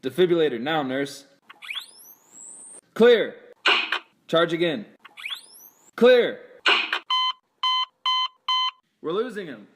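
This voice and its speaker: A man playing an earnest, grave eye surgeon in an amateur scripted film, with a measured, sober manner. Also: a little high, very animated, heavy background noise. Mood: urgent.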